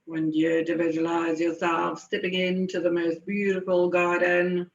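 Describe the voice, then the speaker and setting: Woman leading meditation, gravelly and even-toned. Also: on the low side. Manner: soothing, unhurried, with lingering pauses. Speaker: guided meditation leader. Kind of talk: slow guided meditation. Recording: dull, echoey room recording.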